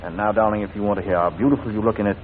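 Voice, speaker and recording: A man's voice: fairly low pitch, steady tone, very fast, close to an everyday voice. A man playing a shrewd, proud English barrister in 1940s radio drama, articulate and formal. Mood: tender. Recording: tinny, noisy 1940s radio recording.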